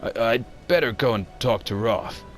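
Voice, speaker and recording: A man's voice: mid-range voice, normal speed, faint music behind, natural voice. A man voicing an anxious, stubborn archaeologist with a hesitant manner in an amateur motion comic. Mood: earnest, determined. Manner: hesitant, stammering. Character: anxious, stubborn male archaeologist, desperate for funding.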